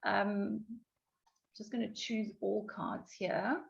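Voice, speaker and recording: A woman giving an online software training, explaining with frequent ums, her voice going croaky. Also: mid-range voice, level tone, slow. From South Africa. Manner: explanatory, many ums and restarts. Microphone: video call. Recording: dull lo-fi online call audio.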